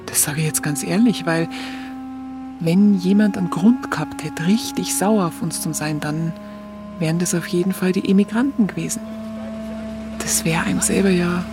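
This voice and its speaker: Actress as Munich woman narrator for radio play: Bavarian accent, colloquial, confiding recollection, clear music bed. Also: lowish voice, normal speed, natural voice. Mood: candid, confiding. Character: Munich woman candidly recalling her hungry youth in 1945.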